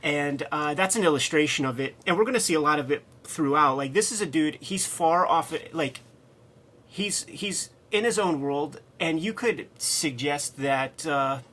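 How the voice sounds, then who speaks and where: A man's voice: a little high, normal speed, a bit bright. A man co-hosting a casual comics discussion, enthusiastic and slangy, leaning hard on his points. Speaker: co-host.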